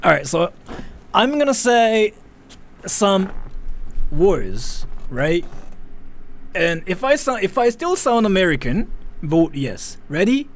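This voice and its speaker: Man, livestream host, casual comment-reading chat, animated, defensive, very lively pitch. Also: slow pace, long pauses. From Japan. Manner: animated and defensive, with many restarts.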